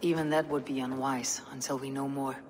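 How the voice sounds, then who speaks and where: A woman playing a stern, resolute Viking warrior in a game cutscene, speaking in a measured, grim, matter-of-fact way. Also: extremely low pitch, fairly even intonation, a little mellow, slight background noise. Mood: wary. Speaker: video game lead character. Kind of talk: dramatic game cutscene acting. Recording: reverberant stone hall, music underneath.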